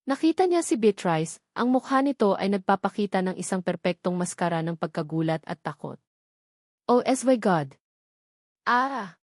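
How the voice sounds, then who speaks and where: A woman's voice: mid-range voice, a little mellow, no echo, studio quality. A woman narrating a scripted romance drama as an audiobook storyteller, in dramatic style.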